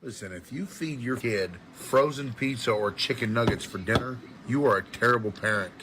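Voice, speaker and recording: A man's voice: medium pitch, moderate pace, sharp tone, a little hiss or hum. A man delivering an emphatic, scolding, mock-serious rant.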